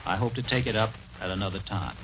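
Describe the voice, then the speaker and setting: An American-accented man giving a scripted 1940s radio talk in a formal, deliberate way. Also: fairly low pitch. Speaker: radio commentator. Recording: dull, noisy 1940s radio recording.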